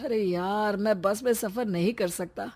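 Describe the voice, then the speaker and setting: A woman's voice: medium pitch, measured pace, a little mellow, lightly acted. A woman voicing an anxious, whiny pregnant young husband in a comic story, complaining dramatically. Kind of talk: acted dialogue in a Hindi comic story.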